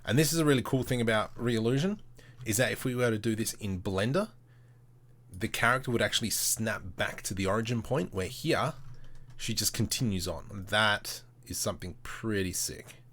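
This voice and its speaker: Aussie guy doing a live tutorial stream, lowish voice, chatty and slangy, talks himself through each step. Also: fairly bright tone. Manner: informal, slangy, thinking aloud in short step-by-step phrases. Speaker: tutorial host, livestreamer. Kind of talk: casual live screen-share tutorial commentary.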